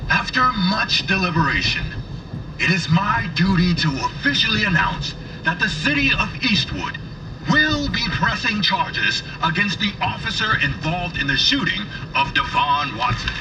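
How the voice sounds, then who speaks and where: A man playing a stern city mayor, giving a solemn, deliberate official speech in oratorical style. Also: high-pitched, big pitch swings. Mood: solemn, formal. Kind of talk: formal political speech. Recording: noisy broadcast heard from a distance.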